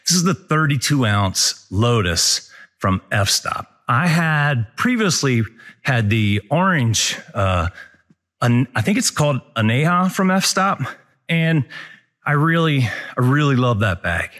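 A man's voice: mid-range voice, slow pace. A man in his forties reviewing a hiking backpack in a casual, conversational way, filming himself on a wooded trail. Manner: relaxed, conversational, many fillers. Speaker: outdoor gear reviewer. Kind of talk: casual gear review vlog. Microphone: handheld action camera at arm's length.

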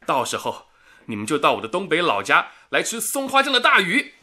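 A fiery patriotic radio worker in a wartime drama. His voice is husky and forceful and swings in pitch, with indignant emphasis. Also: huge pitch swings, brisk, heavily acted. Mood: hearty.

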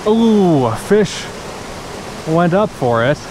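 A woman narrating casually to viewers while fishing a mountain creek, chatty and breaking into excited exclamations, recorded on a point-of-view action camera outdoors. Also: on the low side. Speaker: fishing vlogger. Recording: loud rushing creek noise. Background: rushing stream water.

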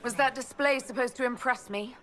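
A woman's voice: high voice, fairly quick, a bit bright, some chatter behind. A woman playing a blunt young elf mage in a fantasy game, curt, dry and challenging.

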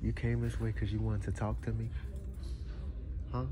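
A man's voice: on the low side, flat delivery, moderate pace. A young man filming a prank vlog in a store, using playful, slang-heavy pickup lines on strangers. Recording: loud background noise in a store.

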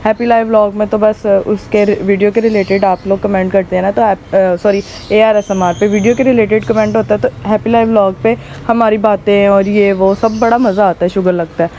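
A woman with a hoarse throat chats casually to camera as a vlogger, rambling with many fillers while filming on a rooftop. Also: mid-range voice, flat delivery, a little fast, hardly any pauses, mellow, slight background noise. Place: rooftop outdoors.

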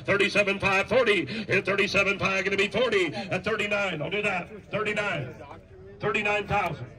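A man working as an auctioneer, calling bids in a rapid, rhythmic chant at an outdoor estate sale. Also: sits high, steady tone, a crowd in the background. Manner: rhythmic repetitive bid calling. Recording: distant voice outdoors.

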